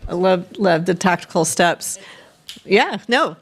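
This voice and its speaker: A woman's voice: on the low side, animated, a little slow, noisy background. A woman hosting a live panel in a casual, playful and chatty way. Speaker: panel moderator, show host. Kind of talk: casual live event moderating.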